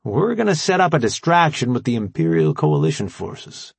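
Cocky kid brother type voiced by a man, playful, super lively pitch, casual audiobook dialogue. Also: moderate pace, creaky, husky, no echo, heavily acted. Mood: eager, cocky. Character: eager cocky young kid brother, excitable and jokey.

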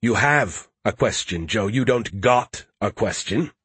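A man's voice: fairly low pitch, expressive, a little slow, a bit bright, slightly rough, no room sound, full character voice. A man acts out a smug male artificial intelligence in a sci-fi audiobook. He is pompous and theatrical, mocking whoever he talks to with heavy sarcasm. The sound is dry and close. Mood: condescending, mocking.

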